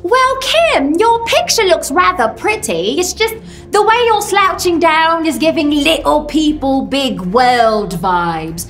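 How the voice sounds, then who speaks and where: A flamboyant British fashion judge, with an extremely high, wildly swooping voice. She is theatrical and drawn-out in a reality show parody, bright and piercing. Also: very animated, faint music behind, full character voice. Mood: mocking. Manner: exaggerated, drawn-out, gushing and cutting.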